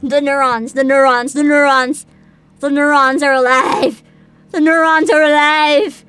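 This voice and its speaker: A woman chatting casually to viewers as a livestream host, with a giggly, expressive manner full of interjections. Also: high voice, measured pace, no echo.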